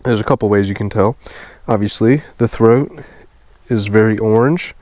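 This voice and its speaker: A man's voice: medium pitch, darkish tone, no room sound. A man with a nasal, stuffed-up voice, sounding sniffly as he hosts a fishing video in a casual, enthusiastic way outdoors. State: congested and sniffly. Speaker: host of a fishing video series. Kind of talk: casual outdoor vlog narration. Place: outdoors beside a small creek.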